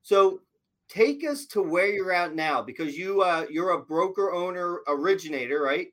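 A man's voice: high voice, moderate pace. A man hosting a podcast interview, chatting casually and asking questions with plenty of fillers. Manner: relaxed, filler-heavy questioning. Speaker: podcast host.

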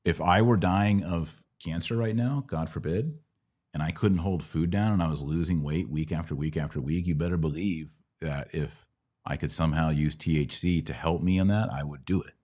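A man's voice: a bass voice, normal speed, a little mellow. A man hosting a conversational religious question-and-answer podcast, teaching emphatically and repeating key words for stress. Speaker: podcast host.

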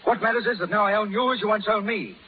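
An actor playing a bold, proud young pirate captain in an ardent, commanding 1930s radio drama style. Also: male voice, high-pitched, fast talker, close to an everyday voice. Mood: bitter, defiant. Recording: muffled, noisy 1930s radio recording.

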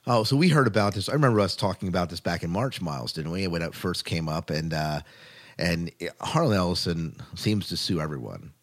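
A man's voice: deep voice, expressive, brisk. A man hosting a podcast, chatting casually about news in a conversational way, often rephrasing himself as he goes. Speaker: podcast host. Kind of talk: casual chat about science fiction news.

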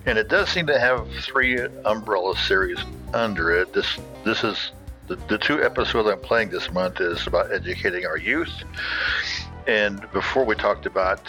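A man's voice: lowish voice, moderate pace, bright, forceful tone, faint music behind, low-quality recording. A man hosting a podcast solo, talking casually in a hesitant, rambling way. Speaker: podcast host.

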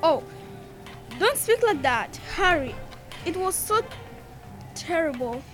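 A woman's voice: very high-pitched, sing-song, slow, fairly bright tone, soft background music, exaggerated voice. A teenage girl playing an earnest, guilt-ridden young wife in a radio drama, pleading as she speaks. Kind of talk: youth radio theatre acting.

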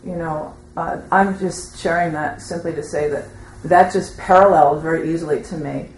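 A woman speaking from the audience in a group discussion, halting and tentative as she shares her experience. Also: low voice, steady tone, darkish tone. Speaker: audience member commenting at a teaching session. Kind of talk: rambling spoken testimony in a group discussion. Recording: roomy echo with faint background noise.

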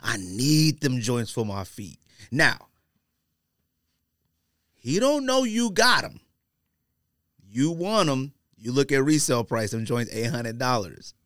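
A man's voice: mid-range voice, very animated, slow pace, long pauses, fairly bright tone, no room sound, studio quality. A man hosting a casual podcast debate, talking in slang and repeating himself emphatically for effect. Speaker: podcast host. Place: podcast studio.

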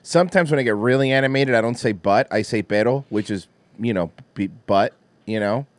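A man co-hosting a crude, casual podcast chat, loud and profane, with a lot of laughing. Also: mid-range voice, fairly quick, dry recording. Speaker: podcast co-host.

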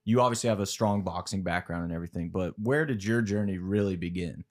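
Friendly low-voiced podcast host. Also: male voice, quick pace. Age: 30s. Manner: friendly, conversational, encouraging. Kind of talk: casual interview.

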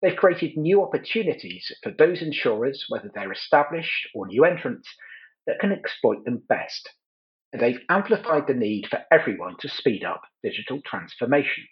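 A man moderating an online panel webinar, giving a measured, polished introduction. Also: mid-range voice, big pitch swings, highs cut off.

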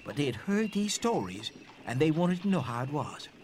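High, animated male storyteller. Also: moderate pace, a little hiss or hum. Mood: earnest, animated. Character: Civil War era man earnestly recounting fugitive slaves' escapes. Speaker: actor in a dramatic reading. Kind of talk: theatrical first-person testimony, dramatic reading.

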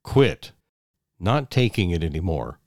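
A man voicing a tired young husband who speaks in flat, clipped, resigned lines. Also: low-pitched, measured pace, dry recording, clean studio sound, pitched below the performer's own voice, heavily acted. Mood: fed up.

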